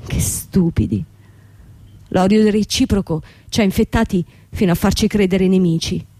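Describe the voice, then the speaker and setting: Actress as a bitter young boss, mellow-voiced. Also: female voice, mid-range voice, fairly even intonation, normal speed, no room sound, lightly acted. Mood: anguished, sombre. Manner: theatrical, dramatic delivery with repetition. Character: young bankrupt company owner, woman, bitter, proud, vain. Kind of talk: radio drama acting.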